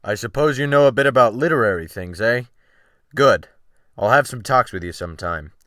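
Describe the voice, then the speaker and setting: A man's voice: mid-range voice, a little fast, a bit bright, no room sound, studio quality, lightly acted. A man voicing a commanding, condescending sea captain in an audiobook, patronising and assured. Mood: condescending, amused.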